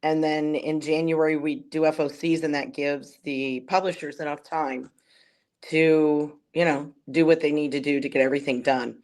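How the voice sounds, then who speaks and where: A woman whose voice is going hoarse, chatting informally as an industry guest on a panel. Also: low-pitched, steady tone, moderate pace, darkish tone. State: losing her voice, hoarse. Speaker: guest, comics distributor executive. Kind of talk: casual panel discussion.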